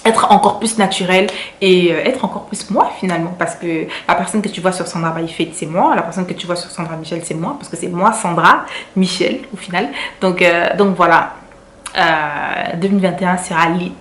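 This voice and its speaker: A woman with a French accent talks to her viewers in a relaxed vlog, her voice a little low for a woman and stacking repeated words for emphasis. The room is echoey, with a faint hum of ambience behind her. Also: moderate pace. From Cameroon. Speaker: vlogger. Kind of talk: casual vlog chat.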